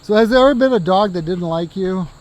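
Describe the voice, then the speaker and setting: A man with a notably high voice chats casually and teasingly. He is outdoors, with faint background noise behind him. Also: quick pace, poor audio. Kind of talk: casual chat.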